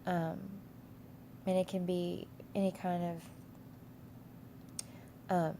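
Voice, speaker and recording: Stuffy-nosed woman teacher with a gravelly voice. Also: fairly low pitch, little pitch movement, slow, warm tone, a little hiss or hum. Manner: rambling with many fillers and restarts. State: has a cold, stuffy nose. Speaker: instructor. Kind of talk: casual screen-share course walkthrough.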